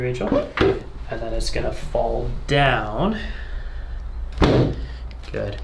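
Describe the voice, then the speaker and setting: Brief: male chiropractor talking a patient through treatment, instructive and reassuring, creaky voice, echoing room. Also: mid-range voice. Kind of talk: explaining treatment while working on a patient. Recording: roomy echo, faint background noise.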